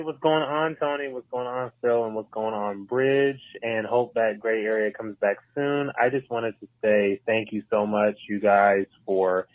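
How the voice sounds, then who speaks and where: A man's voice: fairly low pitch, no echo. A man leaving an earnest, thankful voicemail for a live stream, with many fillers, played over a phone line. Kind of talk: recorded voicemail message.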